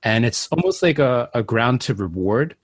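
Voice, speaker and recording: A man's voice: medium pitch, animated, moderate pace, no echo, clean studio sound. A man co-hosting a podcast interview, chatting loosely and rambling with lots of filler words. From United States. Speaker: podcast co-host. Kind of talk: casual interview chat.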